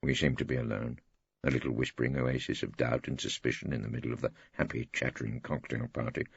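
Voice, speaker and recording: A man's voice: extremely low pitch, little pitch movement, quick pace, gravelly, slightly rough, no room sound. A middle-aged English man reading first-person fiction aloud in a wry, theatrical storytelling manner, on a slightly dull recording. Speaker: audiobook narrator.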